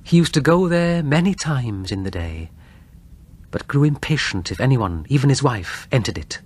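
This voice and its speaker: A man's voice: medium pitch, animated. Male narrator reading a suspenseful horror tale. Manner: suspenseful, measured storytelling with dramatic pauses. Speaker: audiobook narrator.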